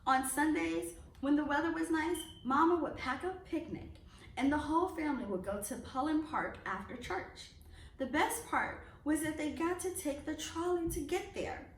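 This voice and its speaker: A woman with a high, very lively voice reads a children's storybook aloud. Her delivery is expressive, in the manner of a storyteller. She sounds some distance from the microphone in an echoey room. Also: moderate pace. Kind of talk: read-aloud of a children's picture book. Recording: echoey room, distant mic, faint noise.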